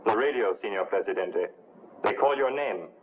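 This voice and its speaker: An actor playing a grave, deferential soldier, formal and terse, in a 1950s film. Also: male voice, on the low side, lively intonation, moderate pace, close to an everyday voice. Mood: grave, urgent. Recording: muffled, faintly noisy vintage film soundtrack.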